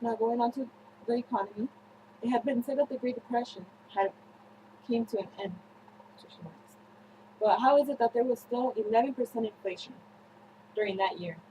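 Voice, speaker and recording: A young woman presenting a scripted student newscast, reading haltingly with slips and self-corrections. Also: a little high, background noise. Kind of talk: scripted news-style narration for a history project.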